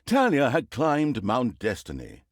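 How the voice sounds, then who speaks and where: An actor playing a pompous, mocking narrator of an evil fantasy video game, with theatrical storybook delivery and dry sarcasm. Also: male voice, mid-range voice, huge pitch swings, a little slow, dry recording. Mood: grandly dramatic. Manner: arch, dryly sarcastic, dramatic emphasis.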